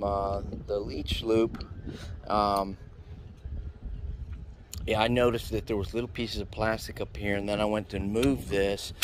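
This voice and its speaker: A man's voice: fairly low pitch, slight background noise. A middle-aged man vlogging from his sailboat at a marina. He talks casually and rambles, with lots of "uh"s and restarts. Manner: relaxed, rambling with many fillers. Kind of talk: casual vlog narration.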